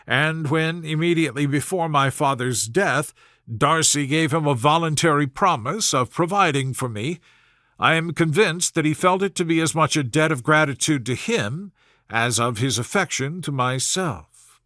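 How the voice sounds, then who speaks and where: A man plays a young Regency gentleman in a storybook reading, fairly high for a man. He speaks in measured, formal phrases, smooth and self-pitying, with wounded dignity. The recording is dry. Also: dry recording. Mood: confiding, resentful. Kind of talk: storybook character voice in audiobook reading.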